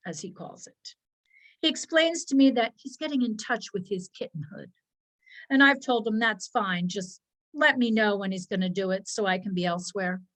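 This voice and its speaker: A woman reading a children's story aloud as its narrator, expressively and with measured, dramatic pauses. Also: a little high, a bit bright. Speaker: storybook reader, narrating as a dog.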